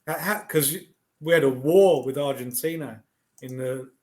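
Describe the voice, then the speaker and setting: A man chats loosely on a video call, laughing easily and trailing off into fillers. His voice sits at an ordinary, middle pitch for a man. He sounds like an online tutor in a casual lesson. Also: a little slow. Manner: relaxed and chatty, laughs often, trails off with fillers.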